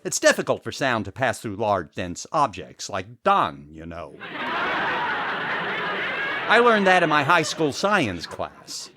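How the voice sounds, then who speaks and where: A man playing a vain, fussy, easily exasperated comedian host in an old-time radio comedy, with an indignant, deadpan delivery. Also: medium pitch, takes it slowly, background noise. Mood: flustered.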